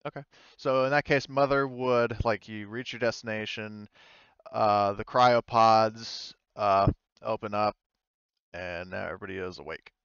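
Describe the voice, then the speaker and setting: A man acting as game master, narrating a tabletop roleplaying game in a halting, conversational way. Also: fairly low pitch, fairly even intonation, creaky, fairly bright tone, clean tone, no room sound, dull audio.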